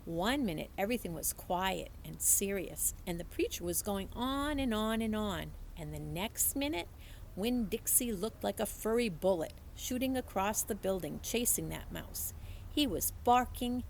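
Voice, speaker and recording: A woman reading a children's story aloud in an expressive, animated storytelling manner. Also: mid-range voice, creaky, a little hiss or hum, poor audio. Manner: animated storytelling, acts out dialogue. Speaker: teacher reading a book aloud. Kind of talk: expressive children's audiobook-style read-aloud.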